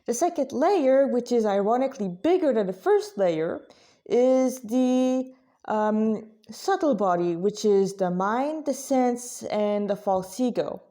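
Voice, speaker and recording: Mellow-voiced woman in halting informal talk. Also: fairly high pitch, expressive. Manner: halting, conversational, self-correcting. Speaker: religious vlogger, Krishna devotee. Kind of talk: informal spiritual talk to listeners.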